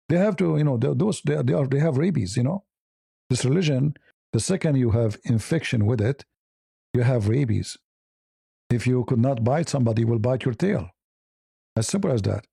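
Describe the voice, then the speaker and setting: A man's voice: mid-range voice, gravelly, mellow, husky, no room sound, clean studio sound. A man hosting a live news commentary stream, blunt and opinionated, rambling with hesitant fillers and speaking English as a second language. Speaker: host.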